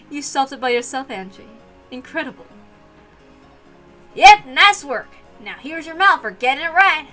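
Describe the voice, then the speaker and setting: A woman's voice: sits high, expressive, unhurried, pauses often, pushed and bright, faint music behind, full character voice. A woman voicing a jovial, teasing middle-aged uncle who tells riddles in a visual novel read-aloud. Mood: proud.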